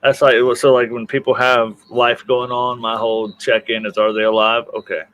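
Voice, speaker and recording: A man's voice: mid-range voice, flat delivery, fairly quick, a bit bright, poor audio. A man hosting a casual livestream panel chat in an informal, teasing way. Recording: faint background noise on livestream audio.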